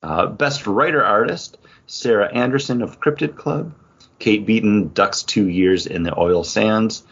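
A man's voice: fairly low pitch, unhurried, creaky, a bit bright, husky. A man hosting a podcast, chatting casually and informally in an off-the-cuff way. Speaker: podcast host. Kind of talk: casual chat, reading out award nominees. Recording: dull, lo-fi podcast audio.

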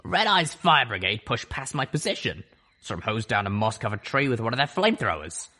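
A man's voice: mid-range voice, very animated, a little fast, pushed and bright, voice pushed up. A man voicing a tough, wry female griffin who smokes and talks in folksy slang.

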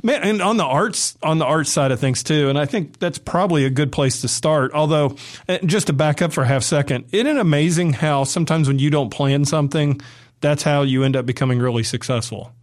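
A man from Atlanta with a light Southern accent, hosting a radio interview in a chatty, joking way. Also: a little high. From Atlanta, Georgia. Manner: chatty and jokey, quick asides. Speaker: radio show host.

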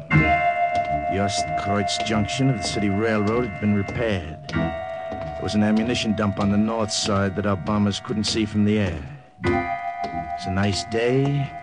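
An actor playing a tense American undercover agent in a radio drama, speaking in a clipped, matter-of-fact way. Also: male voice, fairly low pitch, a little slow, pauses often, over loud music, close to an everyday voice. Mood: terse, watchful. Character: tense American undercover agent narrating his wartime mission. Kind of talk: old-time radio drama narration and dialogue.

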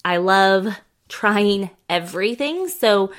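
A woman's voice: medium pitch, lively intonation, slow pace. A woman hosting a casual solo podcast, chatting in a rambling, affectionate way while congested from a head cold. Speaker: podcast host.

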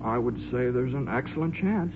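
A man's voice: mid-range voice, animated, close to an everyday voice. Man acting a hesitant scientist, tinny vintage radio. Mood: matter-of-fact, awkward. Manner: hesitant, halting, earnest, stammering. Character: shy, earnest, bumbling male scientist, devoted father. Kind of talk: 1950s radio drama acting. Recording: muffled, noisy 1950s radio recording.

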